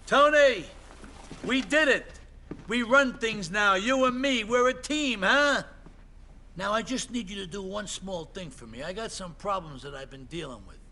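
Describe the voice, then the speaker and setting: A man's voice: high-pitched, animated, measured pace, bright, forceful tone, slight background noise, heavily acted. A man playing a brash, volatile mafia don in a video game, with an Italian-American accent and a blustering, theatrical manner. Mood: elated, wheedling. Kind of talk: video game crime drama acting.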